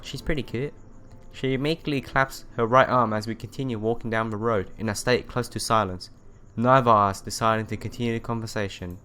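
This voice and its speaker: A man with a fairly low voice reads a visual novel's narration aloud for a let's play. He tells it as a story, now and then tripping on the text, over background music. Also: fairly bright tone. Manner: stumbles over text and rereads phrases. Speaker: let's player.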